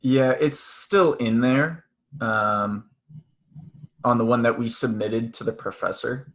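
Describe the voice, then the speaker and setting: A soft-spoken woman talking over a video call with teammates in a measured way. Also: very deep voice. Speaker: student team member. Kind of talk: casual video call chat.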